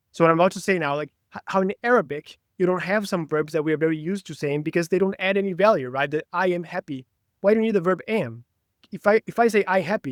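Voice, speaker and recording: Brazilian man, native Portuguese, podcast guest; bright-pitched, dry close mic, keen and talkative. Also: no echo. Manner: enthusiastic, talkative. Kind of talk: casual interview chat.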